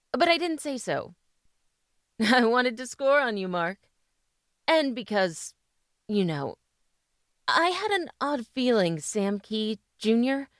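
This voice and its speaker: A woman's voice: mid-range voice, no room sound, studio quality. A woman playing a spirited, clever doctor's wife in an old-time radio style drama. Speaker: actress.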